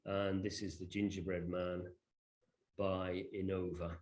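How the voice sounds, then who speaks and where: A man presenting a software demonstration informally, in a hesitant, off-the-cuff way. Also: low voice, flat delivery, measured pace. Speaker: presenter demonstrating a digital library.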